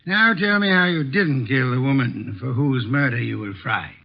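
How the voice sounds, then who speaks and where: An actor playing a bulky, ponderous genius detective in a 1940s radio mystery, speaking in a dry, skeptical, deliberate way. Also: male voice, medium pitch, gravelly, lightly acted. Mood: skeptical, imperious. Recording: dull old 1940s radio recording.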